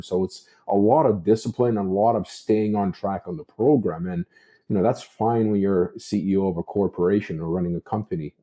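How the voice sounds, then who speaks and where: A man's voice: lowish voice, mellow. A Canadian man giving unscripted political commentary as a talk show host, discursive and repeating himself for emphasis.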